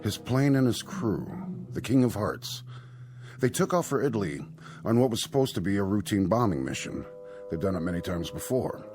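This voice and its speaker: Actor as low, lively American hospital doctor. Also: male voice, normal speed, natural voice. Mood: explanatory, grave. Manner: explanatory, reassuring. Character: concerned, businesslike male hospital doctor. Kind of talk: radio drama acting. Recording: studio radio drama with faint music.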